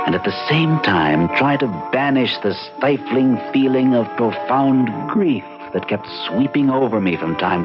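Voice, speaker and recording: An actor playing a brooding, educated mathematician, measured and melancholy, in an old-time radio drama. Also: male voice, sits low. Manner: measured, formal, introspective. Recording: muffled vintage radio recording.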